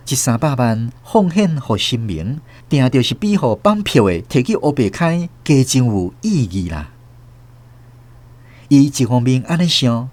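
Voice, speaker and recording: Expressive Taiwanese male drama narrator. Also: mid-range voice. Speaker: host and radio drama narrator. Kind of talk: scripted literary narration. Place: dry studio.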